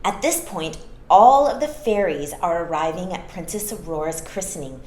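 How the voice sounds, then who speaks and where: Lower-pitched woman reading stories in an echoey room. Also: expressive, moderate pace, a little mellow. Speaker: storytime reader. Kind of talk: read-aloud children's storytelling with explanatory asides. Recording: roomy echo, some background noise.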